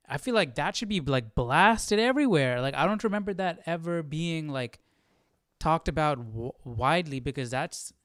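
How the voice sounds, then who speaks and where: A man's voice: mid-range voice, clean tone, no room sound. Casual man with a clean, dry-sounding voice. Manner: informal, halting, conversational. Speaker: host of a Bible study livestream. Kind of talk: casual commentary, thinking aloud.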